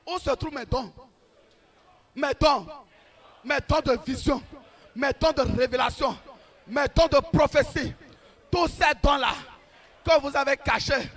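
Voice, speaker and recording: A man preaching a fervent deliverance prayer, shouting declarations and repeating each phrase many times. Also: very high-pitched, creaky. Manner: repetitive declarations, fervent commanding tone.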